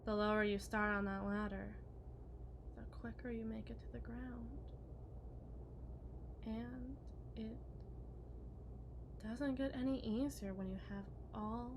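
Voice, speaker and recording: A woman voicing a possessive, unhinged kidnapper wife in an ASMR roleplay monologue, theatrical and taunting, swinging between hushed and shrill. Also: steady tone, takes it slowly, long pauses, noisy background. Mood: cold, hushed.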